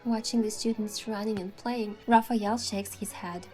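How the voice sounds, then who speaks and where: A woman reading scripted first-person story narration as the heroine, with a gentle, sentimental delivery. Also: medium pitch, steady tone, moderate pace.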